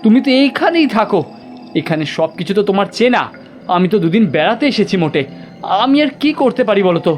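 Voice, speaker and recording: Jumpy young student writer, voiced by a man; high, hesitant, anxious delivery over soft music. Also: big pitch swings, quick pace. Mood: uneasy. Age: young adult. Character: nervous young male college student writer. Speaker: aspiring writer. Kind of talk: audio drama acting.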